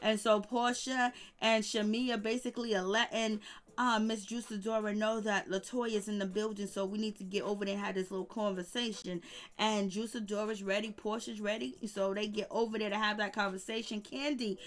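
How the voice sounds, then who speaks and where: A woman's voice: medium pitch, level tone, fairly quick. A woman casually recapping reality TV gossip, rambling with lots of fillers and humming disapproving "mm, mm" asides. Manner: chatty, rambling with many "you know" fillers. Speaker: YouTube reality TV commentator.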